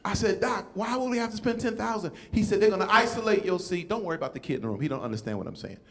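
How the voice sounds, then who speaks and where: A man's voice: high-pitched, echoey room. A man in his fifties performing a stand-up comedy set, telling stories and acting out voices. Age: 50s. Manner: animated storytelling, acting out voices. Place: comedy club stage with live audience.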